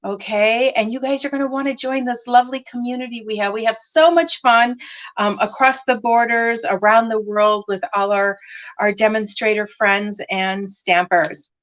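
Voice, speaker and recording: A woman hosting a craft live stream, chatting with viewers by name and using many fillers, her voice slightly raspy as she recovers from laryngitis. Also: fairly high pitch, fairly even intonation, moderate pace, fairly bright tone. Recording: dull lo-fi live stream audio.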